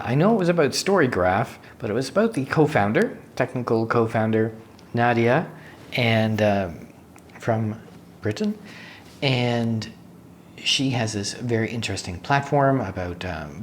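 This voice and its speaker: Slightly raspy man vlogging, faint background noise. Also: fairly low pitch. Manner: halting, searches for words, rambling asides. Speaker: vlogger, software developer. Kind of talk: casual unscripted vlog recap. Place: hotel room. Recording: faint steady room noise.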